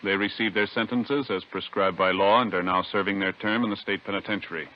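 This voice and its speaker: A man's voice: lowish voice, fairly even intonation, fairly quick, soft background music. An American man announcing formally in vintage radio style. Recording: dull old radio recording.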